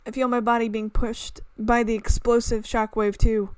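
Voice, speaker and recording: A woman hosting a gaming video, reading a visual novel aloud in an expressive way with exclaimed reactions. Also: fairly high pitch, normal speed, clear, resonant tone, no room sound.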